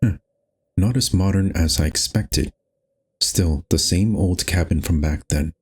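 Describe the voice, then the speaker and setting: Male game host, deep and husky, reading story text and dialogue aloud. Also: measured pace. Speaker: gaming host. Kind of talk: reading visual novel narration and lines aloud.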